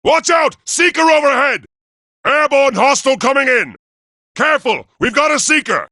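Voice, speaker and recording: A man's voice: extremely high pitch, animated, moderate pace, bright, forceful tone, dry recording, clean studio sound, heavily acted. A man voicing a proud, noble robot leader in a video game, with a formal, declamatory and grandiose delivery. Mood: urgent warning. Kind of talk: theatrical video game voice acting.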